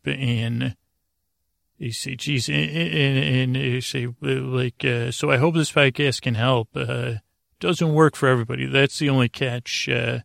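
A man hosting a bedtime podcast, meandering and halting as he rambles, often trailing off mid-thought. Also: mid-range voice, vocal fry, fairly bright tone, no room sound, clean studio sound. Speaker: podcast host.

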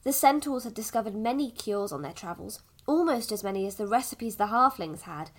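A woman playing a patient village mother in an audio drama, softly coaxing and a little evasive about the past. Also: medium pitch, lively intonation, a little fast, close to an everyday voice. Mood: gentle, explaining.

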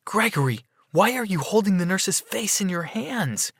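Man acting a middle-aged mother, fretful, insistent, raised pitch, dry story recording. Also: big pitch swings, normal speed, a bit bright, no room sound. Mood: baffled.

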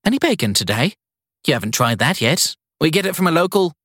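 A man's voice: a little high, sing-song, fairly quick, fairly bright tone, husky, no room sound, clean studio sound, pitched above the performer's own voice, full character voice. Man voicing a friendly, lilting guesthouse landlady. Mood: helpful, chatty. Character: friendly, chatty middle-aged woman running a seaside guesthouse. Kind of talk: audiobook character dialogue.

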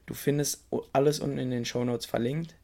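A young man hosting a podcast, slightly hoarse from a cold, recommending a book in a casual, enthusiastic way and talking straight to the listener. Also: mid-range voice, steady tone, brisk, mellow. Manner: enthusiastic, informal, addresses the listener directly. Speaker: podcast host.

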